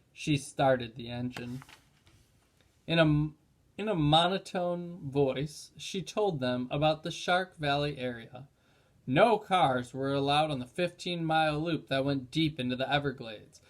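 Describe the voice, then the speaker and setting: A man narrating a children's chapter book in a read-aloud style. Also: on the high side.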